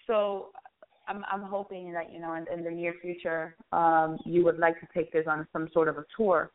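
A woman's voice: fairly low pitch, little pitch movement, moderate pace. Woman, interview host, casual, encouraging, narrow tinny phone audio. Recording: thin phone-line sound.

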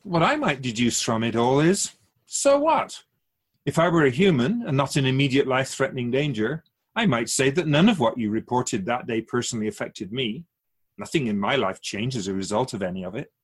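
A man playing an otherworldly, patient keeper of souls in a radio drama, asking measured, probing questions in a husky voice. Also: medium pitch, expressive. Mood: patient, pointed.

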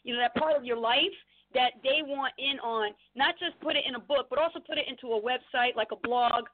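A woman's voice: high voice, a little fast. A woman from Trenton, New Jersey, a guest on a radio interview, chatting upbeat and laughing often. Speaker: guest, blogging consultant. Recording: tinny phone line.

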